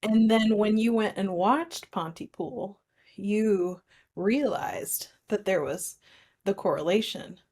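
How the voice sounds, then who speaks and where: A woman's voice: medium pitch, slow, darkish tone. Woman podcaster, casual and bantering. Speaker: podcast co-host. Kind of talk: casual film discussion.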